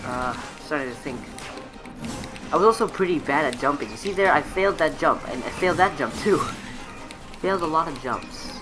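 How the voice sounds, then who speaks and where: A man's voice: a little high, expressive, moderate pace, low-quality recording. A young man giving casual, rambling, self-mocking voiceover commentary on his own gameplay clips. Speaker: gaming video creator. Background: game music.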